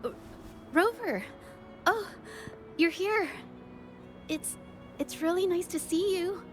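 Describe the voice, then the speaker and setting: A woman's voice: extremely high pitch, slow pace. An actress voicing a shy young female painter in a game, earnest and stammering, with faint music underneath. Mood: flustered. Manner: stammering, hesitant. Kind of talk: game voice acting.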